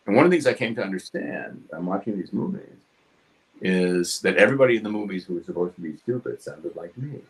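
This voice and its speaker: A man in his seventies from New York City with a New York accent, talking casually about his craft in his home studio, quick and succinct, hitting consonants hard. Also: deep voice, poor audio. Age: 70s. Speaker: guest artist. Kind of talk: casual studio chat while drawing.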